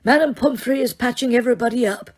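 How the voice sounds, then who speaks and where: Woman narrator doing an elderly wizard headmaster: calm, quiet, higher voice, serene storybook delivery. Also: fast, natural voice. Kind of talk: audiobook character reading.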